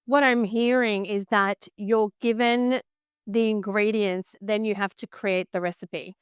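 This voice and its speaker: A woman's voice: mid-range voice, fairly even intonation, a little slow, clean tone, no echo, clean studio sound. A woman hosting an interview, asking articulate questions and summing up her guest's answers. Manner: polished, articulate, warmly summarizing. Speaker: interview host.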